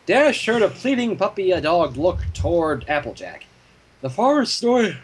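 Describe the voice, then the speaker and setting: Husky, animated higher-pitched man reading aloud. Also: measured pace. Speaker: fan fiction reader. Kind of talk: animated story narration with joking asides.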